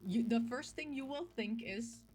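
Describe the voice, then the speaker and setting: A woman from the Netherlands with a Dutch accent chats casually to her viewers as a gaming vlogger, telling things in an animated, playfully emphatic way with little acted-out quotes. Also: fairly high pitch, moderate pace. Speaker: video game vlogger and host. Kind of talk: casual, chatty talk to camera.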